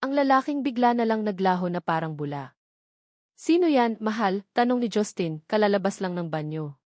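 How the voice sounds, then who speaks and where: A woman's voice: medium pitch, animated, brisk, darkish tone, no echo. A woman narrating a dramatic audio love story in an earnest storytelling way, close to the mic.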